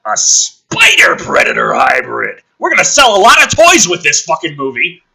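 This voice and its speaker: A man's voice: on the high side, huge pitch swings, moderate pace, vocal fry, bright, forceful tone, slightly rough. A man reading a script dramatically and breaking off into sarcastic, exasperated, sweary commentary as the host.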